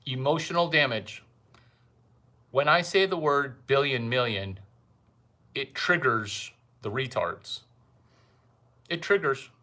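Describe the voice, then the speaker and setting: A male comedian holding forth in a rambling monologue, saying his lines slowly and deliberately. He keeps hammering the same catchphrases over and over, stretching the words he wants to land. His voice sits at an ordinary middle pitch for a man. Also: sharp tone. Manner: provocative, repeats catchphrases, drawn-out emphasis. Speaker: comedian host.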